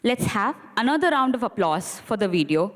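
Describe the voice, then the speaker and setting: Lively woman announcing a ceremony. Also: medium pitch, moderate pace, background noise. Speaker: master of ceremonies. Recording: long reverb of a large auditorium.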